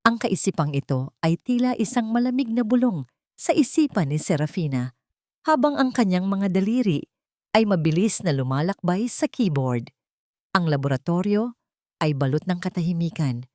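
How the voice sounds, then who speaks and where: Woman storyteller, solemn dramatic narration, slightly low voice, really dry sound. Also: lively intonation, moderate pace, dark tone, no room sound.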